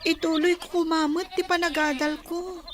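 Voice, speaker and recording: A woman's voice: extremely high pitch, little pitch movement, moderate pace, over music. A woman playing a young farm girl in a radio drama, pleading and whiny, with a Filipino accent. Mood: aggrieved. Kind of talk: Ilocano radio drama acting.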